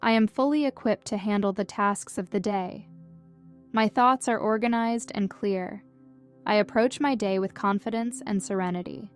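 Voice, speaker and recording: A woman narrating a scripted guided meditation in a gentle, calm and soothing manner with measured instructions. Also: mid-range voice. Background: music.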